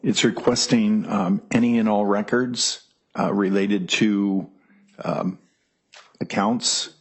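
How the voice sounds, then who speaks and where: A man testifying as a witness in a courtroom, hesitant and filling pauses with ums as he reads out a document. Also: lowish voice, fairly even intonation, breathy edge. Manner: hesitant, many ums, reading aloud.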